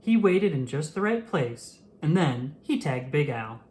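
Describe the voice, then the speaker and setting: Animated children's storyteller with lively, swooping pitch. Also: measured pace. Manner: animated, acts out the dialogue. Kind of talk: expressive children's picture book read-aloud.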